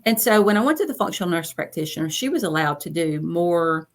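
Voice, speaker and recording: A woman's voice: on the low side, animated, brisk. A woman in her fifties, a coach, talking about her experience as an interview guest in a chatty way and repeating phrases for emphasis. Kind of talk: casual interview storytelling.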